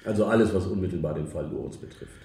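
Roomy sound, young policeman, deep creaky voice, tired, businesslike, radio drama. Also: fast, warm tone. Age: young adult.

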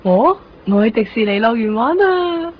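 A woman's voice: fairly high pitch, animated, normal speed, lightly acted. Actress as a resigned, enigmatic Hong Kong schoolgirl. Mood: wry farewell. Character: enigmatic, fatalistic teenage schoolgirl, quietly resigned. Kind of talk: radio drama dialogue. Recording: muffled radio recording, faint background noise.

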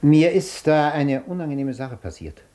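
An actor in a German radio play, playing an agitated, imperious gentleman doctor with a formal, demanding, impatient manner. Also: a little high, lively intonation, moderate pace, lightly acted. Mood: discreet, businesslike.

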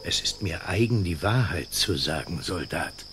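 An actor playing a frank, fearless friar in a German radio play, dignified and outspoken. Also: male voice, deep voice, animated, moderate pace, background noise. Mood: calmly defiant. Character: frank, fearless Franciscan friar, unbowed before soldiers. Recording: faint night crickets behind. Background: faint night insect ambience.

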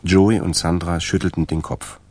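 A man narrating a radio crime drama as a detached, dry storyteller with a gravelly, husky voice. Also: deep voice, level tone, moderate pace, a little mellow. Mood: detached, calm.

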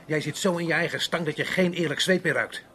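A man acting an earthy, talkative, hot-tempered working man in a radio play, crude and emphatic, with a husky voice. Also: on the high side, quick pace, sharp tone, slight background noise. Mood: indignant.

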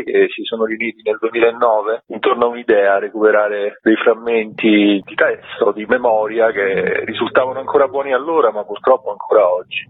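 A man's voice: lowish voice, husky, dry recording. A man explaining his theatre collective as an interview guest, heard over a tinny phone line.

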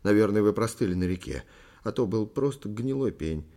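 A man's voice: lowish voice, lively intonation, fairly quick, mellow, pitched above the performer's own voice. A man voicing an anxious, dutiful grown son in an audiobook, urgent and pleading as he soothes. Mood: alarmed, coaxing.

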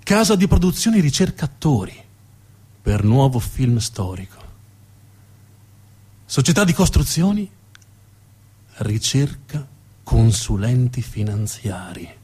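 A man's voice: medium pitch, sing-song, slow, pauses often, a little mellow, breathy edge. A man performing a theatrical narrative monologue, dramatic and emphatic, building on repeated phrases. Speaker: actor and monologue storyteller.

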